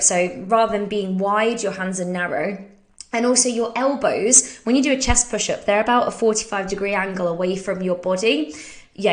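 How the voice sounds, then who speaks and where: An English woman hosting a fitness Q&A, chatty and upbeat, who laughs often, sounding a bit bunged up from hay fever while she talks through exercise technique. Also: mid-range voice. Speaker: fitness coach and podcast host. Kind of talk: casual live Q&A, talking through exercise technique.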